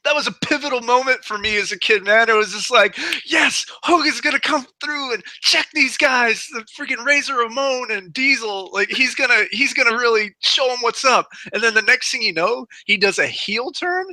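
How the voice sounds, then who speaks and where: A man's voice: very high-pitched, moderate pace, few pauses, pushed and bright, no echo. A man in his thirties chatting casually and enthusiastically about sport as a guest commentator. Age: 30s. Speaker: guest commentator on a wrestling and MMA show.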